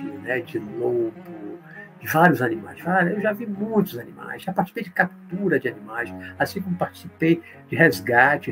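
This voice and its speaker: A man from Olinda, explaining spiritual ideas in a live talk and answering viewer comments, often checking in with a quick "right?". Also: medium pitch, a bit bright, poor audio. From Brazil, born in Olinda, Pernambuco. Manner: explanatory, tag questions, reads comments aloud then answers.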